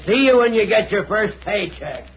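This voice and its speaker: An actor playing a friendly, folksy, wisecracking bartender in an old-time radio drama. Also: male voice, sits high, animated, moderate pace, a little hiss or hum. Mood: chummy, amused. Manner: folksy, chummy, slangy. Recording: dull old radio recording.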